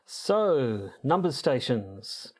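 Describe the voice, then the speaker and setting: A man's voice: mid-range voice, very animated, extremely slow. A man co-hosting a podcast in casual, bantering chat, with dry, self-deprecating humour. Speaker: podcast co-host. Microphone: lapel mic.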